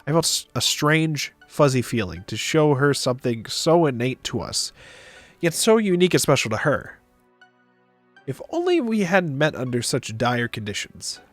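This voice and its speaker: Male story narrator, mid-pitched, casual streamer delivery, dry sound over background music. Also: very animated, normal speed, no room sound. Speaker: visual novel reader on a stream. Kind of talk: narrating a visual novel aloud, with casual asides. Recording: music behind the voice.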